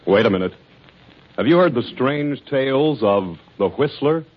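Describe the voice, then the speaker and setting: A man's voice: mid-range voice, animated, measured pace. A man announcing urgently and dramatically in the style of a 1940s radio promo. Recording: muffled old radio broadcast.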